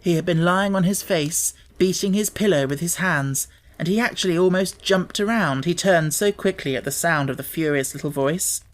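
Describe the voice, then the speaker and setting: A woman narrating a children's story as a scripted audiobook, with expressive storytelling. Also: fairly low pitch, moderate pace, few pauses.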